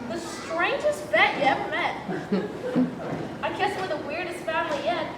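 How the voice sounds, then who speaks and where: A woman's voice: very high voice, normal speed, a crowd in the background. A teenage young woman playing a quirky, fond child, reciting a rhymed poem on stage. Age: teens. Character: child fondly presenting an oddball pet and family. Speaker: student stage actor. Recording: echoey hall, distant mic, audience noise.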